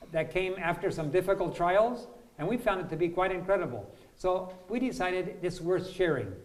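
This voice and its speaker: Older male interview host, voice on the higher side, halting and deliberate phrasing. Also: poor audio. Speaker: host, missionary preacher. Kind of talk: interview introduction.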